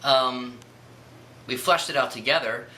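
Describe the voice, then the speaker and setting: A man with a strikingly bright, forward voice at medium pitch answers interview questions. He is dry and wry, with a little noise behind him. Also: measured pace, low-quality recording. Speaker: comic book writer. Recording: faint background noise.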